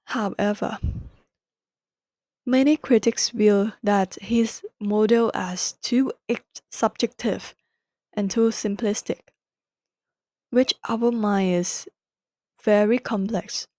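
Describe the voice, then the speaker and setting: Slow, mellow-voiced woman with Thai accent. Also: medium pitch, steady tone, no echo. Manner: careful, deliberate non-native English with self-corrections. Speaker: podcast host. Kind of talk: solo book-summary podcast.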